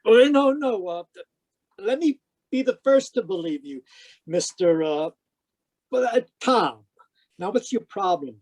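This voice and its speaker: A man playing a wisecracking private detective who narrates deadpan in 1940s radio drama style. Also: high voice, measured pace, pauses often, dry recording, full character voice. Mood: patient, dry. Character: wry wisecracking male private detective narrating his case. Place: live video-call performance, voice close and dry.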